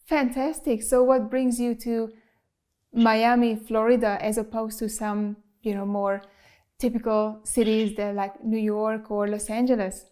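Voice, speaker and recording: Woman, interview host, fairly high mellow voice, friendly encouraging questions. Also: flat delivery, measured pace. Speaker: podcast host. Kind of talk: interview hosting. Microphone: video call setup.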